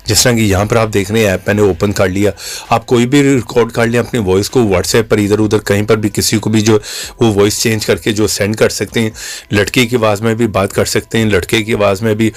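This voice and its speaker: A man's voice: fairly low pitch, steady tone, fairly quick. A man hosting a casual tech tutorial as a voice-over, explaining step by step and addressing viewers directly.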